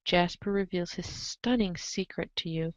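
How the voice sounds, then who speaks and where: A woman narrating a comic story aloud in an expressive read-aloud style, on a slightly dull recording. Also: fairly low pitch, normal speed, no echo. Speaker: narrator of a group webcomic reading.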